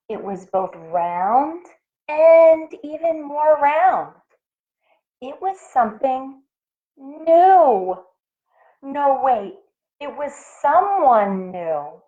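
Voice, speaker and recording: A woman reading a picture book aloud to children like a schoolteacher, with animated, deliberate phrasing. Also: high-pitched. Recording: tinny phone-like audio.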